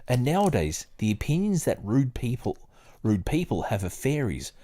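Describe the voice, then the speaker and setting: A man hosting a podcast, moving between halting, digressive casual talk and reading aloud. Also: mid-range voice, big pitch swings, dark tone. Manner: hesitant, halting, digressive when talking. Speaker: podcast host.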